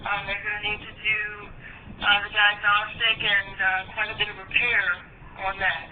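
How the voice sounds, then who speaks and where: A woman's voice: medium pitch, little pitch movement, normal speed. Woman on a noisy, tinny phone line. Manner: patient, accommodating, hesitant. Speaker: tech support phone agent. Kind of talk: unscripted customer service call.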